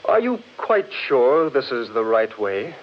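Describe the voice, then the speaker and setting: An actor playing an uneasy gentleman explorer in a 1940s radio horror drama, speaking in theatrical, halting phrases. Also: medium pitch, sing-song. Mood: frightened, urgent. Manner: theatrical, halting, old-fashioned exclamations. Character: Crane, uneasy gentleman explorer in haunted forest. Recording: muffled, noisy old 1940s radio recording.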